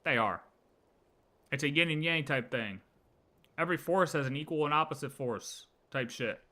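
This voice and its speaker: Bright, forceful man livestreaming casually. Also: a little high, normal speed. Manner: emphatic, slangy, repeats phrases. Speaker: game livestreamer.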